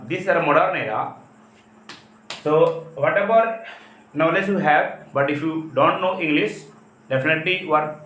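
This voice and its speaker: Indian guy teaching english, hindi native speaker, kinda high voice, halting and repeats himself, echoey room. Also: fairly bright tone. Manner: repetitive, halting phrasing in non-native English. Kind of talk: spoken lesson, explanatory talk. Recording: echoey, roomy sound.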